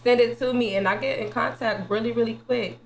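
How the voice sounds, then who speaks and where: A woman's voice: fairly high pitch, steady tone, fairly quick. A young woman from Ohio with an Ohio accent, a journalist guest talking casually and laughing often in slangy speech. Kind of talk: casual interview chat.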